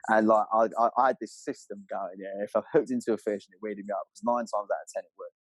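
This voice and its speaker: Fast-talking man, slangy storyteller. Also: on the low side, fairly even intonation, breathy edge, no room sound, rough recording. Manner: slangy, matey, swears freely. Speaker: podcast guest telling fishing stories. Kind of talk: casual animated storytelling.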